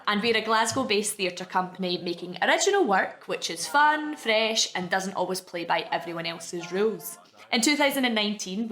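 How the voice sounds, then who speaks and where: Female promo voice, upbeat scripted read, bright and lively, over music. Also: mid-range voice. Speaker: theatre company promoter.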